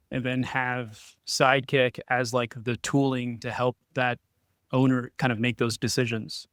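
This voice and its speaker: Medium-pitched man in a dry recording. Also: a bit bright, smooth, dry recording. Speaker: expert panelist. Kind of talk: conversational panel discussion.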